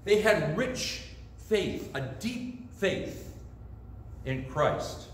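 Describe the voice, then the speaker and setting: A man's voice: sits high, very animated, extremely slow, slight background noise. A middle-aged man preaching a storytelling sermon in a church sanctuary, gesturing with both hands as he speaks. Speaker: pastor. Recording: distant echoey sanctuary sound.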